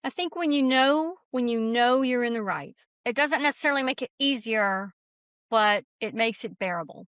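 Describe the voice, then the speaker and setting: A woman's voice: on the high side, normal speed, fairly bright tone, smooth, no echo. A woman talking as an author guest in a conversational, plainspoken, folksy way, on slightly lo-fi audio. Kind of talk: conversational interview.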